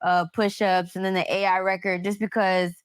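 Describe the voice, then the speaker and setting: A woman's voice: mid-range voice, little pitch movement, normal speed, clear, resonant tone, no room sound. An American woman chatting casually as a podcast co-host, giving her reactions in everyday language. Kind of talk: casual chat.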